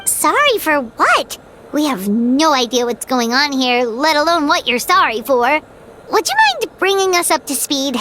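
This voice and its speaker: A woman voicing a tiny childlike fairy companion in a video game, squeaky, chirpy and blunt. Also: very animated, measured pace, noisy background. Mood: puzzled, curious. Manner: exclaiming, speaks of self in third person. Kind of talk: scripted video game voice acting. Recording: game music behind the voice. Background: background music.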